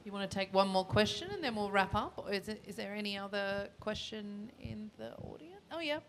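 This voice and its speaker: A woman's voice: medium pitch, expressive, a little fast, fairly bright tone. Woman moderating a panel, noisy room. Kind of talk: informal live panel moderation. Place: conference session with audience. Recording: live event with clear background noise.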